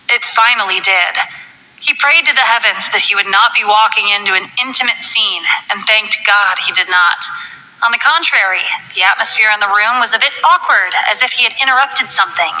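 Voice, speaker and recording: Bright female narrator, steady scripted novel reading, soft music bed. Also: a little high, low-quality recording.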